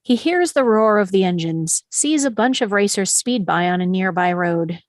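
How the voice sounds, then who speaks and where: A woman's voice: medium pitch, moderate pace, no room sound. Woman narrator, dry close sound. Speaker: narrator reading stage directions. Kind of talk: scripted narration.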